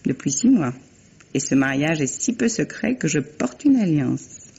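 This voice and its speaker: A woman's voice: low-pitched, very animated, a little slow, vocal fry, warm tone, husky, exaggerated voice. An actress playing a self-possessed, ironic woman novelist in a 1950s-style French radio drama. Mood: cool, pointed. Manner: ironic, teasing, composed. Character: self-possessed remarried woman novelist, wry toward her ex-husband. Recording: muffled, noisy vintage radio recording.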